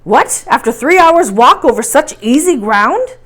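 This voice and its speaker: Storybook role: impatient older professor uncle, brusque and stubborn, lively pitch read by a woman. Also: a little slow, full character voice. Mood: incredulous. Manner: brusque, incredulous questioning. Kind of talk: storybook character voice.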